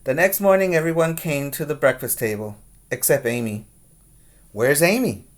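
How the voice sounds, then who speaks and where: A man reading a picture book aloud to young children as their teacher, with expressive, deliberate emphasis. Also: on the high side. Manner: slow, deliberate, with dramatic emphasis.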